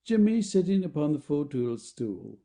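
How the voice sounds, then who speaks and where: A man's voice: fairly high pitch, very animated, moderate pace, dark tone. A man reading stage directions as the narrator of a scripted play.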